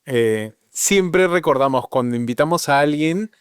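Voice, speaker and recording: A man's voice: fairly high pitch, lively intonation, a little slow. A man who co-hosts a podcast, welcoming the guest in an upbeat, jokey way. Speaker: podcast co-host. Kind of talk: show welcome and banter. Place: podcast studio.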